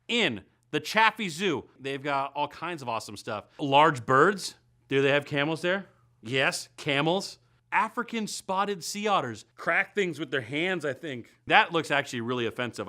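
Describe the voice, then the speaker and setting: Lively, bright-voiced American man hosting comedy. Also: medium pitch. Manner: wry, sarcastic, emphatic delivery. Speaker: host of a comedy web series. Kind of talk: scripted comedic monologue to viewers.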